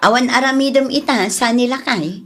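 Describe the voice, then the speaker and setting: A woman's voice: sits high, moderate pace. A woman playing a stubborn, grabby village housewife who argues back in whining retorts, in a comedic radio drama. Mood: matter-of-fact.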